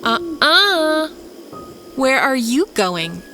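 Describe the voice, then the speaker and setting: A woman voicing a predatory, playful female vampire in a horror story, teasing and mock-sweet in drawn-out phrases. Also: high-pitched, sing-song, voice pushed up, heavily acted. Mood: taunting. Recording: loud music under the voice.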